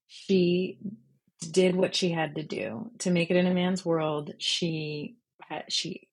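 A woman's voice: lowish voice, slow pace, breathy edge, low-quality recording. A woman speaking as an interview guest, in a conversational and reflective style. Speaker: interview guest, freelance journalist.

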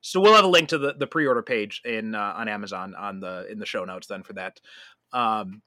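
A man's voice: on the low side, brisk, pushed and bright, dry recording. A man hosting a podcast, asking his guest questions in a casual, conversational way. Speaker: podcast host. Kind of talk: casual interview chat.

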